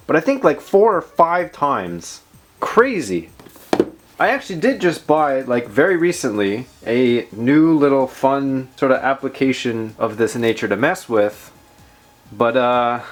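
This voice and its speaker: A man hosting a casual tech and gaming vlog, talking informally with slangy, self-deprecating commentary. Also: mid-range voice. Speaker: video host. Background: background music.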